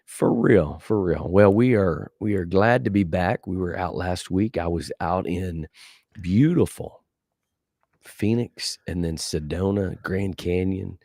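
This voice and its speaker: Deep-voiced man hosting a podcast. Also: a little slow, mellow, no echo, studio quality. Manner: upbeat, friendly banter. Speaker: podcast host. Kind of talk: casual podcast chat.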